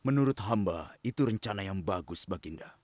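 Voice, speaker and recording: A man's voice: deep voice, lively intonation, a little fast, a crowd in the background. A man playing an earnest, respectful royal adviser in a children's cartoon. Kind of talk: Indonesian children's cartoon dubbing.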